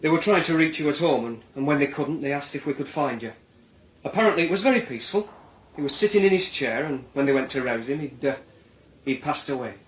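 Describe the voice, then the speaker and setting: A man playing a gentle, formal, apologetic police officer who speaks haltingly in a naturalistic radio drama. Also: fairly high pitch, expressive, lightly acted. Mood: grave, careful. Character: hesitant, apologetic male police officer breaking bad news. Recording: echoey room.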